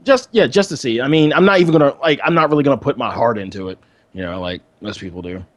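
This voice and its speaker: A man's voice: medium pitch, quick pace. A man co-hosting a gaming podcast, chatting casually and often repeating his phrases as he goes. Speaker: podcast co-host.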